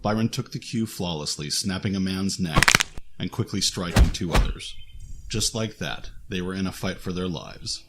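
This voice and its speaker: A man's voice: low voice, expressive, moderate pace, over loud music. A man reading scripted narration for a fantasy action audio drama as its narrator.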